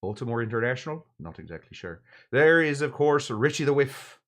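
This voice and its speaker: A man's voice: mid-range voice, sing-song, a bit bright. Jokey man with a put-on Irish accent. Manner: halting, jokey, rambling with mock-grand asides. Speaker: livestream show host. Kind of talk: casual livestream chat, greeting viewers and presenting drinks.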